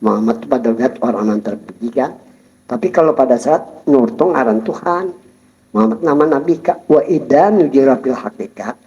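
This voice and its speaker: A man giving a Sufi study-circle lecture, explaining calmly and chuckling while he addresses his listeners. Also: mid-range voice, brisk, vocal fry. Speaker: religious teacher. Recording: dull lo-fi recording.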